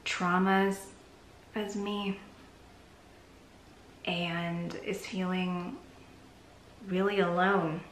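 A woman in her thirties talking to the camera in a casual, reflective vlog from her bedroom, sometimes dropping to a soft near-whisper. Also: on the low side, little pitch movement, very slow, pauses often. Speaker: vlogger. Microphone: camera mic at arm's length. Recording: echoey room with faint hiss.

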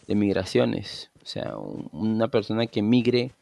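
A man's voice: on the low side, very animated, measured pace, gravelly, warm tone, no room sound. Chatty Venezuelan man streaming a game. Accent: Venezuelan Spanish. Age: 30s. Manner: chatty, easygoing, rambling with restarts. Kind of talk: casual gameplay chat. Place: small room at home. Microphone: headset.

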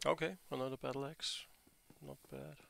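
A man's voice: mid-range voice, little pitch movement, normal speed, creaky, a bit bright, a little hiss or hum. A man in his forties from Bavaria with a German accent, a non-native English speaker joking through a let's-play on a headset mic at home and talking back at the enemies on screen. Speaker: gameplay commentator. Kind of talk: casual let's-play commentary.

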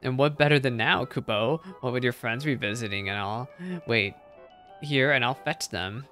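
A man voicing a chummy, blustering little moogle creature in a fantasy game, with an excitable, folksy, exclamatory manner. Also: medium pitch, moderate pace, soft background music, natural voice. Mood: excitable chattering.

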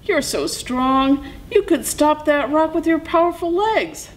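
A woman's voice: high-pitched, animated, unhurried, voice pushed up, rough recording. A woman voicing a frantic, whiny, stubborn male coyote trickster in a children's story, pleading and yelping.

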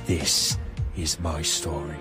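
Deep grave irish guy narrating his past, slow and even, loud music under him. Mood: grave, reflective. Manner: measured, grave. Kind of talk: solemn scripted narration.

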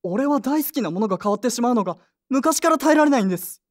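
A woman's voice: fairly high pitch, lively intonation, quick pace, lightly acted. A woman playing an earnest, sentimental young man who grieves and clings to memories, polite and emotional, in an audio drama. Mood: earnest, wistful. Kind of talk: Japanese audio drama acting.

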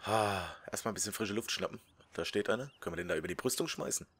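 A man's voice: fairly low pitch, big pitch swings, fast talker, creaky, fairly bright tone, breathy edge. A man casually commenting on his gameplay, joking and muttering asides, sometimes dropping to a whisper while he reads. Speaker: let's play host.

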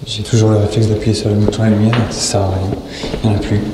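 An actor playing a wary lone disaster survivor who talks to himself in short phrases, in an audio drama. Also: male voice, on the low side, little pitch movement, fast talker, heavy background noise, lightly acted. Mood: wary, focused. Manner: muttered self-talk in short phrases. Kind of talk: French audio drama monologue. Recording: loud music behind the voice. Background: loud instrumental music.

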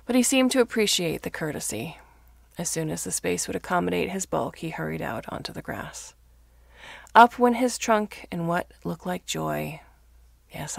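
A woman reading fiction aloud as a narrator, in a measured, expressive storytelling manner. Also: lowish voice, creaky, no room sound, studio quality. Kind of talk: scripted audiobook-style narration of fiction.

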